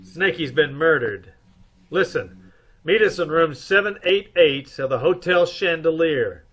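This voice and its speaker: Man playing a bright-voiced, suave private detective. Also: a little high, animated, a little slow. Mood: brisk. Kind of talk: old-time radio comedy-mystery acting.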